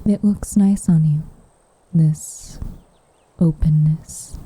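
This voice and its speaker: A woman playing a gentle, wistful witch in an intimate ASMR roleplay, speaking softly and half-whispered, in a measured, tender way. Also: low voice, fairly even intonation, no room sound, full character voice. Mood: gently teasing. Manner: soft, breathy, tender, measured delivery. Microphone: close microphone.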